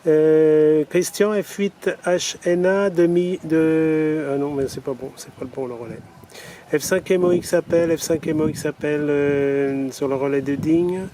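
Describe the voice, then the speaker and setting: A man with a slightly high voice working as an amateur radio operator outdoors. He talks casually in radio procedure, over clearly audible outdoor and vehicle noise. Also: steady tone, a little slow, mellow. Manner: spells call signs in phonetic alphabet. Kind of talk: ham radio contact, casual demonstration. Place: outdoors, portable station. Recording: noisy outdoor recording, vehicle and urban sounds.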